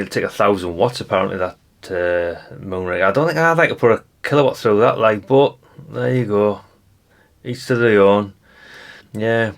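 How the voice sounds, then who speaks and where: Male hobbyist presenter, English accent, deepish and gravelly; loose, colloquial, matter-of-fact commentary, no script. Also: fairly bright tone. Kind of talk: casual unscripted show-and-tell commentary.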